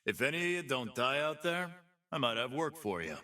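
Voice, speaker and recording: An actor playing a cocky, streetwise pit-fighting boss, boastful and sardonic, in video game voice lines. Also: male voice, mid-range voice, brisk, recorded from a distance. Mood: brash, commanding. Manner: streetwise, boastful, deadpan wisecracking. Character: cocky male pit-fighting boss, brawny half-beast with sardonic swagger.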